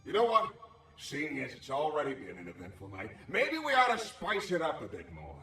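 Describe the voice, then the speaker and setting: Cocky, animated anomaly, echoey. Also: male voice, fairly high pitch, normal speed, soft background music, full character voice. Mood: sly, conspiratorial. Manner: cocky, sardonic, folksy slang. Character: wisecracking, scheming handless anomaly, sly streetwise troublemaker. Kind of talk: video game character acting. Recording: roomy echo, slightly distant.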